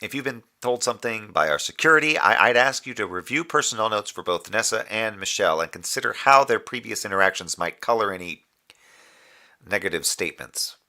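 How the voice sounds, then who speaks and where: A moon base communications officer in an audio drama, a man with a very low voice and a crisp, forceful edge. He delivers official reports in measured, formal phrases. A dry, sardonic streak runs under the dutiful manner. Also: animated, exaggerated voice. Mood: restrained, pointed. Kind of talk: scripted audio drama monologue as a radio report.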